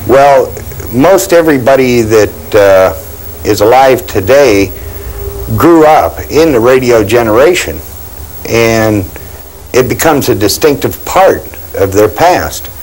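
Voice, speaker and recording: A man's voice: mid-range voice, lively intonation, slow pace, darkish tone, low-quality recording. A middle-aged man, a repair shop owner, talking casually in his small shop. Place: small radio shop indoors.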